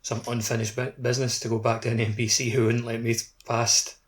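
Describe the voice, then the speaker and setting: A man's voice: medium pitch, completely flat delivery, a little fast. A man chatting casually as a co-host on a gaming podcast. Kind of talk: casual chat. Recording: faint background noise.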